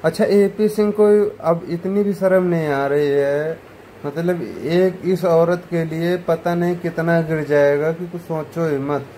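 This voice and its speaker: Guy ranting into the camera, kinda high voice but dark and mellow, sneering and heated. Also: measured pace, a little hiss or hum. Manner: scornful and heated, rising to loud outbursts. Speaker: online commentator. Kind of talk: ranting video commentary.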